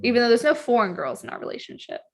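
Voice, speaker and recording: A woman's voice: fairly high pitch, wildly swooping pitch, rapid-fire, gravelly. A woman co-hosting a podcast in casual conversation, informal and often rephrasing as she goes. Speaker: podcast co-host. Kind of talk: casual group chat.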